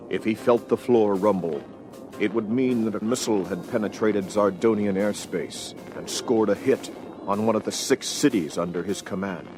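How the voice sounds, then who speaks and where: A man narrating an audio adventure story from a script in a measured, dramatic storytelling manner. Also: on the low side.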